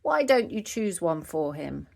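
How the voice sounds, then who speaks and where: A woman voicing a kindly old grandfather pig in a storybook, gentle and encouraging. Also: lowish voice, big pitch swings, measured pace, vocal fry, heavily acted.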